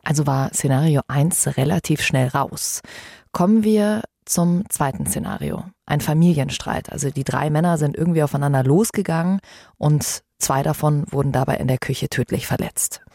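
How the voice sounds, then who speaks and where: Gravelly, low-voiced female true-crime host. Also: lively intonation, moderate pace, warm tone, no echo, studio quality. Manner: addresses listeners with questions. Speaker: podcast host. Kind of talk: conversational true-crime presenting.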